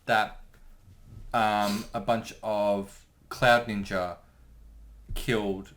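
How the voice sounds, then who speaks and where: Low-voiced man, halting casual commentary. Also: level tone, fairly bright tone. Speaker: creator giving episode commentary.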